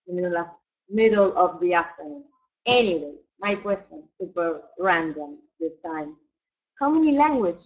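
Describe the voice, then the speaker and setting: A woman fan asking a band a question over a video call, friendly and curious. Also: on the low side. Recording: tinny phone-like call audio.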